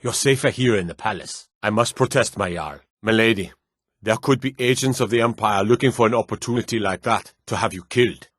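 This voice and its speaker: A man voicing a stern, dutiful fantasy city guard in a video game, speaking in a gruff, formal, clipped way. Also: lowish voice, fairly quick, a bit bright, dry recording, studio quality. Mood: earnest, concerned. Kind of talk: scripted game character dialogue.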